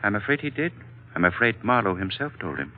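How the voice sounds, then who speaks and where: Wise calm older boss, low voice, formal and gentle, thin tinny old radio sound. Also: male voice, a little fast. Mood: grave, measured. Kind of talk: classic radio drama acting. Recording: thin, narrow-band vintage radio recording.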